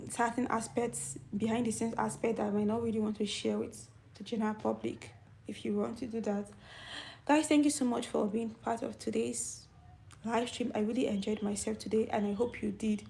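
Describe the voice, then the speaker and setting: Young-sounding woman with a gravelly edge. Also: fairly high pitch, steady tone, moderate pace, warm tone, slight background noise. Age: middle-aged. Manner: youthful-sounding voice; chatty, reads and answers viewer comments. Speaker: channel host. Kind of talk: casual live stream chat.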